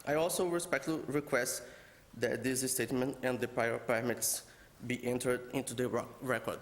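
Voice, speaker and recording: A man's voice: medium pitch, fairly even intonation. A man who is applying to the board, reading a formal prepared statement. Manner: formal when reading, halting when answering. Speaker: applicant. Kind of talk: reading a prepared statement, then answering questions. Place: town hall meeting room. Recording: reverberant hall.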